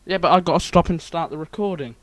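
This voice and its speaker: A man's voice: fairly high pitch, quick pace, no room sound. A man acting as producer and straight man in casual comic banter, hesitant, placating and a little exasperated. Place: small recording room.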